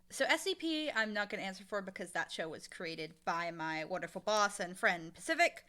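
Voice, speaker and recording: A woman being interviewed, talking in a casual, informal and animated way about her work. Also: medium pitch. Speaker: interviewee, audio drama showrunner and voice actor.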